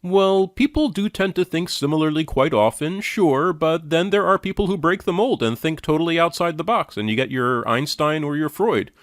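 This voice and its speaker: A man's voice: on the high side, expressive, moderate pace, hardly any pauses, dry recording, clean studio sound. A man giving critical commentary in a skeptical, sardonic and challenging manner. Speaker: commentator reviewing and rebutting a lecture.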